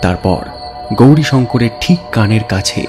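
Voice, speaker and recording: A man gives a dramatic, suspenseful narration of a scary story in deliberate, theatrical phrases. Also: mid-range voice, lively intonation. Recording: voice over background music. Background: music bed.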